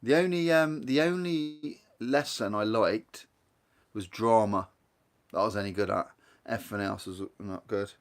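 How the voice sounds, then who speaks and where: A man's voice: fairly low pitch, normal speed. A man with a Guernsey accent hosting a casual live stream, rambling along and reading viewer comments aloud as he chats. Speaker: livestream host.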